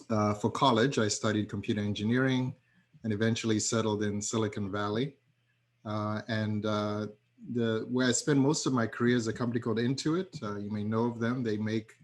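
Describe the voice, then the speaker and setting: Middle-aged man, steady low monotone, pauses often between words, on a video call. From Zambia. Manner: halting, with many fillers. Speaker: tech entrepreneur, panel speaker. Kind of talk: personal introduction at an online forum.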